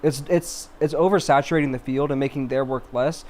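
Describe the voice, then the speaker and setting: A man's voice: mid-range voice, fairly even intonation, a little fast, darkish tone, slight background noise. A young man co-hosting a podcast in casual, slangy banter with some swearing. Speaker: podcast co-host.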